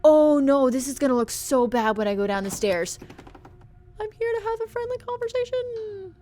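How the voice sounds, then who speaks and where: A woman's voice: high voice, big pitch swings, normal speed, dark tone. A young adult woman streaming a game with casual commentary, rambling and joking with stammering restarts.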